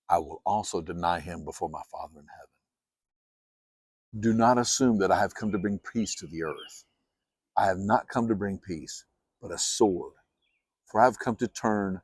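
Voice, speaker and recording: A man reading scripture aloud as a solemn audiobook, with deliberate, emphatic and measured phrasing. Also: low voice, darkish tone, slightly rough, no echo. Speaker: Bible reader.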